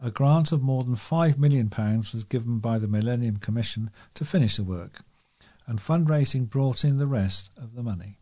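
A man's voice: medium pitch, moderate pace, warm tone. A man volunteer reading a local news feature aloud. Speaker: volunteer newspaper reader.

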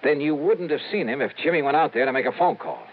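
An actor playing a brisk, impatient insurance investigator in a 1950s radio drama, urgent and insistent. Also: male voice, on the high side, lively intonation, close to an everyday voice. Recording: tinny, hissy 1950s radio broadcast recording.

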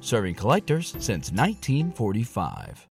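A man's voice: lowish voice, wildly swooping pitch, normal speed, creaky. A man reading a scripted radio-style ad in an upbeat, promotional voice. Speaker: ad announcer. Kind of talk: scripted ad read. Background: music.

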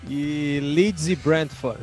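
A man co-hosting a casual football podcast, keeping his remarks short and unhurried. He speaks slowly in a slightly high voice, with faint music playing under him. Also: darkish tone. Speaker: podcast co-host.

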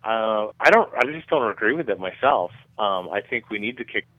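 A man's voice: on the low side, animated, brisk, dry recording. Middle-aged man explaining, slightly muffled audio. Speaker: guest researcher being interviewed. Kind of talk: conversational radio interview explanation.

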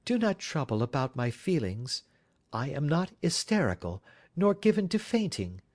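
An anxious Victorian lady pleads for the truth in a raised, fluttering voice that swings widely in pitch, as a man reading the story puts her on. Her questions come earnest and insistent, as in a storybook read aloud. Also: very animated, normal speed, a little mellow. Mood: urgent.

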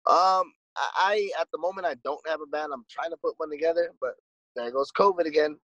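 A man's voice: fairly high pitch, a little fast, a bit bright, no echo, highs cut off. A man and musician guest in a casual conversation, talking in a laid-back, rambling way with lots of swearing and "dude". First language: English.

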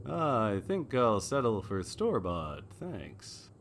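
A wry 1940s noir detective, acted by a man, telling his case in a slow radio drama delivery. His pitch swings widely between wisecracks, with long reverb around the voice. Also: creaky, background noise, heavily acted. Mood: wisecracking. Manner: wry, deadpan.

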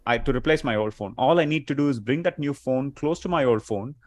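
A man's voice: medium pitch, a little fast, a little hiss or hum. A man with a mid-range voice shares his opinions in a loose tech chat. He fills his sentences with "like" and keeps checking in with "right?". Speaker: co-host. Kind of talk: casual tech discussion.

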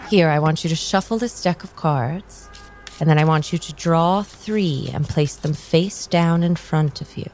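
A woman playing a calm, welcoming and mysterious tarot reader in an improvised radio drama, with deliberate pauses. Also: sits low, a little hiss or hum. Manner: deliberate, enigmatic, pausing between words.

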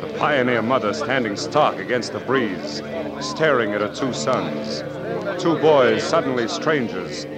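A man's voice: mid-range voice, lively intonation, unhurried. A man with an American accent delivering dramatic scripted narration in 1940s radio style. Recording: vintage 1940s radio broadcast. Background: loud dramatic music.

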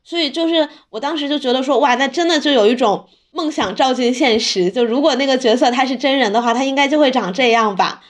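A woman's voice: high voice, quick pace, hardly any pauses. A woman speaking as a podcast host in casual conversation. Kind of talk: casual chat.

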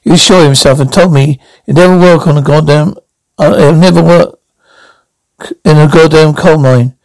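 A man's voice: fairly high pitch, moderate pace, gravelly, darkish tone, no echo, low-quality recording. A man reading a frank young small-town woman.

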